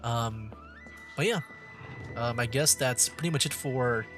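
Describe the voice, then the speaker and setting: A man's voice: medium pitch, a little slow. A man chatting casually as a game livestreamer, rambling and repeating his phrases. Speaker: livestreamer, VTuber. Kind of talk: casual gaming livestream chat. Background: game music.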